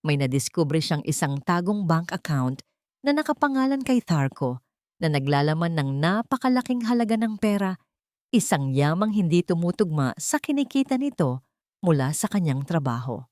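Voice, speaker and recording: A woman's voice: lowish voice, sing-song, normal speed, darkish tone, no echo, clean studio sound. A woman reading scripted audio drama narration as a storyteller, in an earnest, emotive manner.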